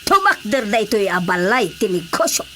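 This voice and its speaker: A woman's voice: mid-range voice, very animated, noisy background, natural voice. An actress playing a brash, well-connected businesswoman in a radio comedy drama, with an Ilocano accent and an exclamatory, theatrical manner. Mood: defiant. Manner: exclamatory, theatrical, drawn-out hesitations and scoffing.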